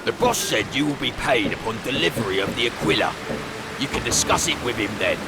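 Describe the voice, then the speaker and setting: A man playing a shifty, grumbling bandit villain in a cartoon game, with a gravelly, sneering delivery. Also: medium pitch, animated, fairly quick, very noisy. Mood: irritated. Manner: sneering, evasive. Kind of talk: video game cartoon acting.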